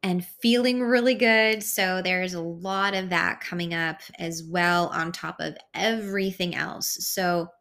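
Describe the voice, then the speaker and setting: A woman's voice: lowish voice, fairly even intonation, a little slow, few pauses, fairly bright tone. A woman whose first language is Hungarian, hosting an unscripted spiritual podcast and rambling in a self-aware way with frequent fillers. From born in Italy, raised in the United States. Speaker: podcast host. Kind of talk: unscripted solo podcast monologue.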